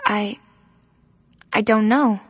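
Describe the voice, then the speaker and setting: A woman's voice: mid-range voice, very slow, a bit bright, husky, no echo, clean studio sound, full character voice. A woman playing an earnest young novice mutant heroine in a video game, speaking plainly and sincerely. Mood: wistful, unsure. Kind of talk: video game cutscene acting.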